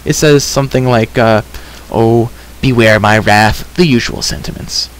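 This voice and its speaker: A man's voice: mid-range voice, normal speed, a little hiss or hum, lightly acted. Man playing a breezy, bluffing bard. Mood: smug. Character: confident, breezy male bard, bluffing know-all. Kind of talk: audiobook character reading.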